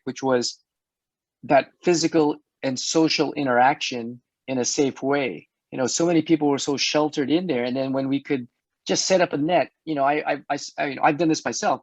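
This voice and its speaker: A middle-aged man hosting an online health talk, chatty and hoarse, often restarting his words and laughing. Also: fairly high pitch, darkish tone, no room sound. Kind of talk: casual panel chat. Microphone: video call. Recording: dull dry video-call audio.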